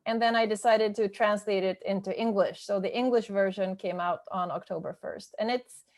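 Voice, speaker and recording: A woman's voice: medium pitch, steady tone, normal speed, darkish tone. Swedish-native woman speaking on a webinar. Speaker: webinar speaker, self-published author. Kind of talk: casual webinar talk. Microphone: video call.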